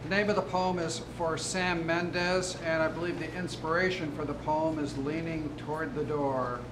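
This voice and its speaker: A man reading a poem aloud in a deliberate way, with pauses between short lines. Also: on the high side, little pitch movement. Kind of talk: poetry reading. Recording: echoey room with background noise.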